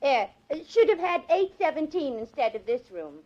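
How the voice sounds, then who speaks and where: An actress playing a meddlesome, excitable and prim elderly lady amateur sleuth in a 1940s radio drama. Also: sits high, moderate pace, lo-fi sound. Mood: excited, knowing. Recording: vintage 1940s radio recording.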